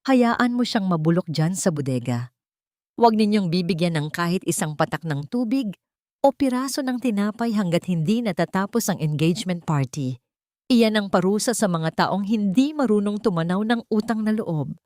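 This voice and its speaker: A woman's voice: medium pitch, expressive, normal speed, darkish tone, no echo, studio quality, natural voice. A woman voicing a cruel, haughty rich matriarch with a cold, commanding manner in an audiobook drama. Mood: cold, punishing.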